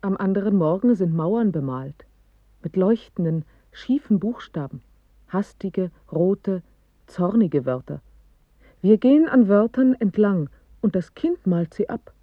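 An actress playing a pensive woman narrator in a poetic radio drama, speaking in a measured, deliberate way. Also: mid-range voice, no echo. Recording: slightly dull 1980s radio recording.

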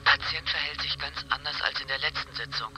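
An actor playing a clinical, observant therapist dictating patient notes in a radio drama, clipped and telegraphic. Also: male voice, medium pitch, fairly even intonation, a little fast, lightly acted, poor audio. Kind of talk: German radio drama acting.

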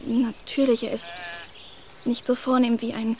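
A woman's voice: on the high side, level tone, measured pace, a little mellow, slight background noise, natural voice. An actress playing a naive, eager and deferential young woman in a radio play, artless and hesitant in her speech. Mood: flustered, excited.

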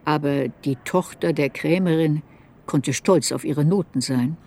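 An actress playing a reflective woman looking back on a troubled youth, narrating a radio drama in a measured, introspective way. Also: low voice, a little mellow. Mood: sober, reflective. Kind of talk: literary radio drama narration, first-person memoir. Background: music.

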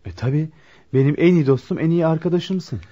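A man playing a trusting, good-natured young man in a radio drama, gentle and a little hesitant. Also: a little high, brisk, lightly acted. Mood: friendly. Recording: dull lo-fi radio recording.

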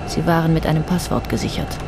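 A woman narrating a radio crime drama in a calm, even storytelling manner. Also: sits low, fast talker, gravelly, background noise. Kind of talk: scripted narration. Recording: narration over music.

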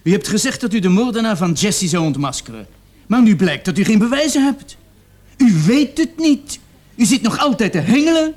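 A young male murder suspect in a radio drama. His voice sits high for a man, and he protests stubbornly, argumentative and full of indignation. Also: expressive, moderate pace, darkish tone. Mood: defiant, mocking. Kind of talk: radio play acting.

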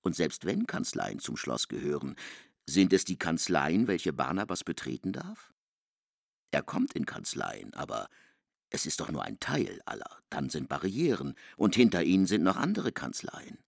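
Deep, gravelly male radio-play narrator. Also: huge pitch swings, moderate pace, no echo, studio quality. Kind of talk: literary narration and dialogue in a radio drama.

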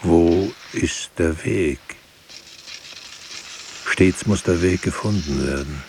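An actor playing a grave, brooding writer, speaking solemnly in halting, deliberate phrases in a poetic radio play. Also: male voice, low voice, gravelly, a little mellow, slight background noise, heavily acted. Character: grave, brooding writer musing on words and silence. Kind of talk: poetic radio drama monologue.

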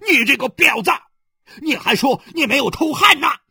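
A man acting an enraged, jealous husband who shouts coarse demands at his wife in an audiobook drama. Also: very high voice, expressive, fast talker, gravelly, no echo, clean studio sound, pitched above the performer's own voice, full character voice.

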